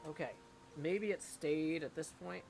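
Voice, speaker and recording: A man hosting a live game stream in a casual, rambling way, with a creaky voice. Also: on the high side, unhurried, darkish tone, soft background music. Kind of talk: casual livestream chat.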